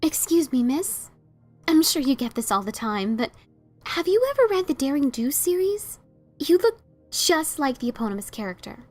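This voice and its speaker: A woman voicing a bookish, excitable female unicorn fan, earnest and flustered, in a fan audio drama. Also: high voice, moderate pace, faint music behind. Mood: starstruck, flustered.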